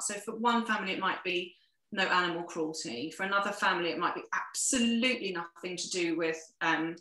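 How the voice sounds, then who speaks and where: A woman's voice: fairly low pitch, pushed and bright. Earnest woman in echoey room. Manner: earnest, advice-giving, many restarts. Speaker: sustainability guest. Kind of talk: interview conversation.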